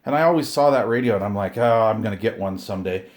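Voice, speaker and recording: A middle-aged or older man chatting casually to viewers while unboxing, excited and rambling, often talking to himself. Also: on the low side, quick pace, a little hiss or hum. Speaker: hobbyist video host. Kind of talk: casual unboxing chat to camera.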